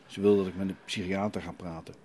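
A man voicing a meek, troubled father at confession in an audio drama, husky and hesitant. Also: low-pitched, flat delivery, quick pace, darkish tone, slight background noise, lightly acted. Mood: uncertain. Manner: hesitant, uncertain.

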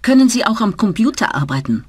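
A businesslike travel-agency clerk. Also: female voice, on the high side, big pitch swings, brisk, husky, no room sound. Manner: polite and businesslike. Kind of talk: scripted language-course dialogue.

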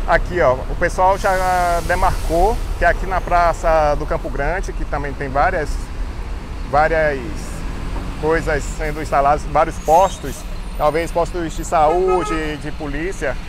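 A man's voice: fairly high pitch, fairly even intonation, unhurried. A man in his thirties from Salvador with a Bahian accent, giving a chatty walking tour of his city to a phone held at arm's length. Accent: Bahian Brazilian Portuguese. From Salvador, Bahia, Brazil. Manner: chatty, fillers and restarts, guiding. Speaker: travel vlogger. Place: outdoors on a city street with traffic. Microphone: phone held at arm's length, selfie. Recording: loud street traffic noise behind.

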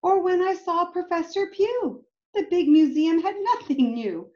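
Lady reading as earnest little storybook boy, five, high voice, slow careful lines, looking for his pet. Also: animated, mellow, lo-fi sound. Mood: worried, pleading. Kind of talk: expressive picture-book reading.

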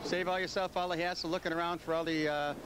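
A man's voice: on the high side, flat delivery, fast. A middle-aged American man giving an informal promotional interview as a car dealer. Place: indoor bowling alley. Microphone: interviewer's handheld microphone. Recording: noisy videotape, busy background ambience.

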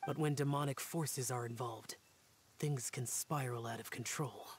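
Man doing an aloof young guardian warrior, quiet, grave, terse, game character. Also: medium pitch, steady tone, moderate pace, slight background noise, close to an everyday voice.

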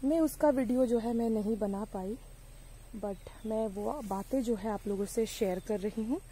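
A woman's voice: on the high side, unhurried, mellow, slight background noise, low-quality recording. A young woman vlogging casually from her sunny outdoor vegetable garden, chatting to viewers as friends with plenty of fillers while filming on a handheld phone. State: hot and bothered by sun and mosquitoes. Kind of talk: casual garden tour vlog. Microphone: handheld phone camera.

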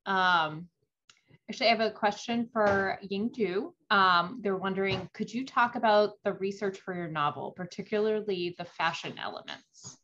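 A woman moderator reading audience questions on a video call, soft and hesitant with ums. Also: medium pitch, moderate pace, dull audio.

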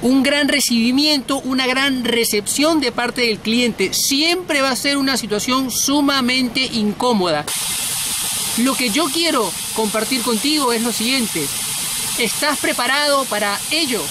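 A man's voice: very high voice, measured pace, background noise. A middle-aged man giving an informal sales lesson to camera from inside a car, bluntly and emphatically, repeating words for stress. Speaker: sales trainer, host.

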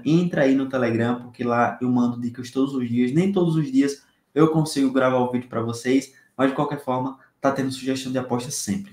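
A man's voice: medium pitch, darkish tone. Upbeat young man in an echoey room. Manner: chatty, upbeat, addresses viewers directly. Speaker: sports betting tipster. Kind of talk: casual betting-tips video. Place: small home room, indoors.

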